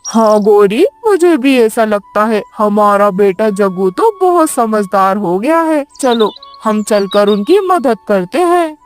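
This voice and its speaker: A woman's voice: medium pitch, animated, slow pace, few pauses, faint music behind. A woman voicing a humble, kindly father crow in an earnest manner for a children's cartoon. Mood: agreeable, proud. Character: humble, agreeable father crow, adult male. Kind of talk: children's cartoon story dialogue.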